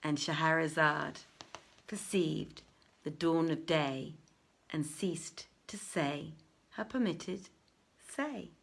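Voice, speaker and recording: Unhurried woman storyteller. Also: sits low, creaky, husky. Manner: theatrical, deliberate. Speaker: storyteller, reader. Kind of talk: expressive literary narration of an old tale.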